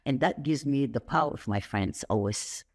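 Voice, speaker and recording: A woman who grew up in Saudi Arabia, a podcast guest telling stories in an animated, rambling way with sudden jumps up in pitch. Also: moderate pace. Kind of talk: conversational interview.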